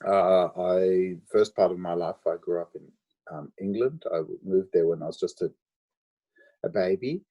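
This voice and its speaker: A man from Australia answering interview questions about his life and work, thoughtful and full of pauses and ums. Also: deep voice, dark tone. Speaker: guest architect.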